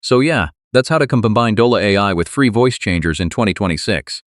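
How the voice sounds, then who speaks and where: A man's voice: on the low side, lively intonation, brisk, no echo, studio quality. A man reading a scripted how-to narration as a tutorial narrator.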